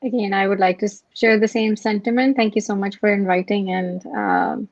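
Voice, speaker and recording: A woman's voice: medium pitch, fairly even intonation, normal speed, no echo, muffled recording. A woman panelist politely thanking the hosts on a virtual panel.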